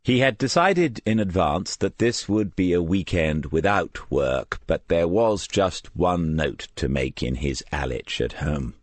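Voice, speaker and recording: Very deep-voiced male narrator. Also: animated, unhurried, hardly any pauses, no room sound. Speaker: audiobook narrator. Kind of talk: scripted crime novel narration.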